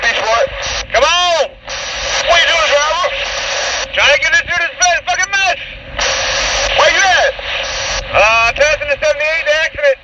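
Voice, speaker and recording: A man talking in clipped, slangy trucker chatter over a CB radio. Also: very high voice. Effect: CB radio transmission. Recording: dull, very noisy CB radio.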